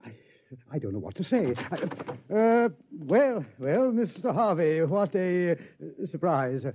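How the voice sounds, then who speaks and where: Brief: a timid, stammering scientist, warm and jumpy, old radio recording. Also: male voice, sits high, very animated, takes it slowly, lo-fi sound, a little hiss or hum, exaggerated voice. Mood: flustered. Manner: stammering, flustered. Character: nervous, stammering male observatory astronomer. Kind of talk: 1950s radio acting.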